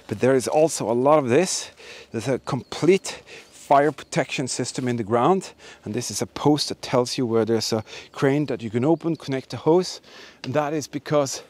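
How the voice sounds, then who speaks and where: A man's voice: mid-range voice, normal speed, hardly any pauses, a little mellow. A man hosting a history exploration video, narrating to camera as he walks through a forest with an emphatic, repetitive way of talking. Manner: enthusiastic, emphatic, repeats words. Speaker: host of history exploring channel. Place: outdoors in a forest and ruined buildings.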